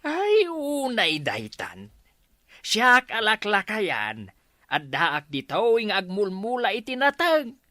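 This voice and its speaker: A woman's voice: mid-range voice, huge pitch swings, slow, fairly bright tone, no room sound, studio quality, heavily acted. A woman playing a grumbling, disapproving parent-in-law from a farming household in an Ilocano comedy drama, chiding as they talk.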